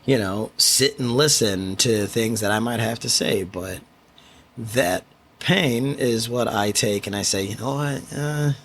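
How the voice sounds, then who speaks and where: Quiet guy podcasting alone into a mic, lowish voice, kinda rambly and thoughtful. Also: a little slow, creaky. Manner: reflective, rambling with restarts. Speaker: podcast host. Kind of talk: solo podcast monologue. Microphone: studio microphone.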